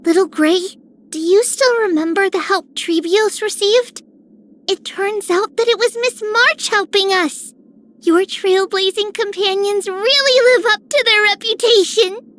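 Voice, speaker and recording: Tiny, very high-voiced child. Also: female voice, a little slow, fairly bright tone. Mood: cheerful. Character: sweet, earnest little child hero. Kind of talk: scripted game character lines.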